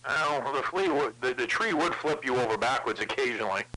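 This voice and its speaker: A man's voice: medium pitch, lively intonation, brisk, sharp tone. A man in a casual CB radio conversation, rambling along and swearing heavily. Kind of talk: casual chat over CB radio. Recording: crackly CB radio with clicks.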